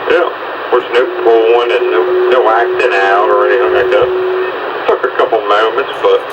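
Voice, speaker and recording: Male, casual rambling talker, dull lo-fi audio with clear background noise. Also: on the low side, steady tone, moderate pace, far from the mic. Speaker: dog rescue volunteer chatting on air. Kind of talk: casual chat.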